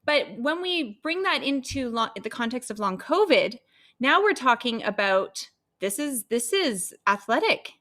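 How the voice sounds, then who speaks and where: A woman who is a singer and vocal health specialist, explaining her field in a lively, expressive way as a podcast guest. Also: high-pitched, measured pace. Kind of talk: explanatory interview talk.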